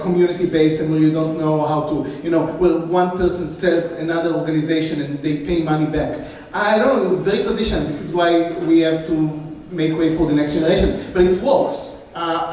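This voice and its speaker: High-voiced Israeli man, playful contrarian. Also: darkish tone. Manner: self-deprecating, contrarian, laughs often. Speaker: investor on a panel. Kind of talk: casual panel talk. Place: conference panel stage. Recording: roomy hall, distant mic, faint hum.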